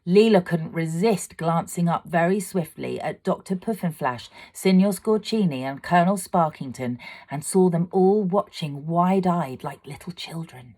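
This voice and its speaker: A woman narrating a children's storybook aloud, with expressive, dramatic delivery. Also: on the low side, normal speed, hardly any pauses. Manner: expressive, dramatic, swelling for excitement and hushing for suspense. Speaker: amateur storyteller.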